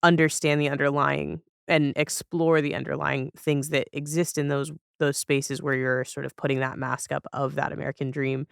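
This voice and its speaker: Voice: mid-range voice, level tone, moderate pace, creaky, hardly any pauses, fairly bright tone, clear, resonant tone, dry recording, clean studio sound. A podcast host chatting casually, phrasing thoughts in a reflective, tentative way. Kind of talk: casual chat.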